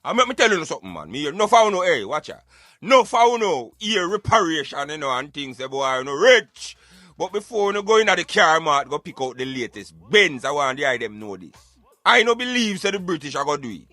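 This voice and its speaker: A man with a Jamaican accent giving emphatic, argumentative, streetwise opinions in animated radio banter. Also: fairly high pitch, a little fast, dry recording. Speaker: radio show host.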